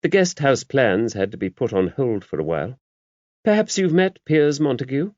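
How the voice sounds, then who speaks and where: A man voicing an older abbess in an audiobook, a shrewd, gently wry woman who talks in a brisk, genteel, confiding way. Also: medium pitch, big pitch swings, no room sound, voice pushed up, close to an everyday voice. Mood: chatty, shrewd. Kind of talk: audiobook character voice. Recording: dull, muffled lo-fi audio.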